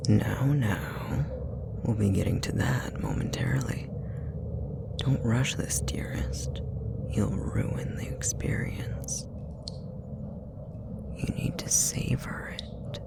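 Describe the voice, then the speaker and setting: Manipulative demon, played by a male actor for whispered ASMR roleplay: very gravelly, unhurried, sweetly threatening. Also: fairly low pitch, very animated, exaggerated voice. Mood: taunting, sadistic. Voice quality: breathy, creaky whisper. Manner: slow, taunting, mock-affectionate. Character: menacing, possessive male puppetry demon, sadistic and manipulative.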